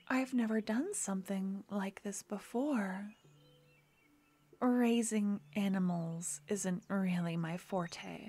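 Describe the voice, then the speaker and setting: A woman voicing a gentle young necromancer girlfriend in an ASMR fantasy roleplay, speaking softly and often whispering. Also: mid-range voice. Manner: soft and intimate, often whispering.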